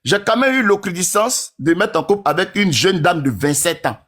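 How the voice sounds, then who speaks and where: A man's voice: sits high, animated, moderate pace, fairly bright tone. A man in his forties talking in a casual, rambling monologue to his followers, who keeps asking whether they understand. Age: 40s.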